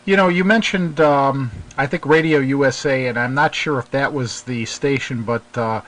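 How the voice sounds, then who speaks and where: A man hosting a casual hobby radio chat, talking in a halting, hesitant way as he interviews. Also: mid-range voice, fairly bright tone, poor audio. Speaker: host, interviewer.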